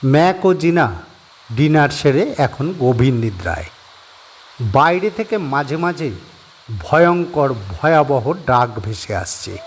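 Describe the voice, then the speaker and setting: A man narrates a scripted horror audio story, laying out each scene in a steady, descriptive way. His voice sits at an ordinary pitch for a man, and a faint hiss runs under the recording. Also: takes it slowly.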